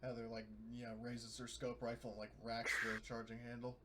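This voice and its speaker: A man's voice: mid-range voice, monotone, fairly quick, very noisy. A man talking casually as a player in a tabletop roleplaying game.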